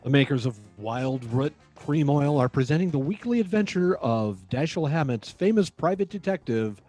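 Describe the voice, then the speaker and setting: A man's voice: a little high, lively intonation, moderate pace, warm tone, faint music behind, lightly acted. A man playing an earnest 1940s radio sponsor announcer, with a polished, emphatic sales delivery. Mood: earnest selling.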